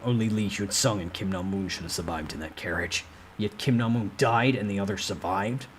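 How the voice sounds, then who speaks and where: A man's voice: on the low side, fairly quick, a little hiss or hum, close to an everyday voice. A man voicing an intimidating young man, a cold and ruthless regressor who speaks in curt, threatening commands in a dramatic novel reading. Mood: suspicious, menacing.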